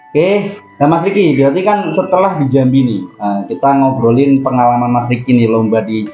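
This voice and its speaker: A man hosting a casual interview, relaxed and prompting his guest with short questions and asides to viewers. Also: medium pitch, moderate pace, soft background music. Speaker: host and interviewer. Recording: echoey room with dull, lo-fi sound.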